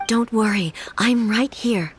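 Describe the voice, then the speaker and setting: A woman voicing a calm, regal fantasy ruler in a video game, composed and formal. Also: fairly high pitch, takes it slowly, slight background noise. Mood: calm, reassuring.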